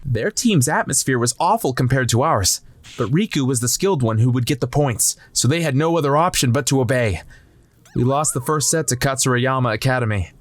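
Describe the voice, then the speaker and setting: A man's voice: medium pitch, expressive, brisk, dry recording. Dry, close-miked male narrator. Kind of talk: scripted first-person manga narration.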